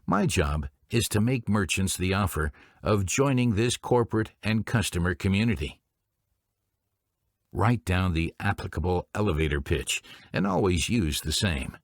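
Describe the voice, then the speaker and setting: A man presenting business training in scripted instructional narration, speaking in a formal, deliberate way. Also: deep voice, animated, dry recording, studio quality.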